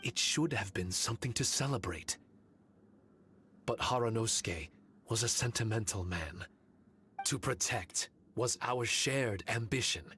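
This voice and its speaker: An actor playing an ancient, dutiful guardian spirit in a video game, speaking in a formal, measured, earnest manner. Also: male voice, on the low side, bright, forceful tone, slight background noise. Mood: wistful. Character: solemn dutiful ancient male spirit, guardian of a training chamber. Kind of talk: video game dialogue acting.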